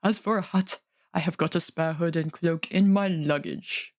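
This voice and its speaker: A woman voicing a gruff, practical male dwarf with a blunt, drawn-out delivery for a storybook reading. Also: fairly low pitch, a little mellow, pitched below the performer's own voice, natural voice.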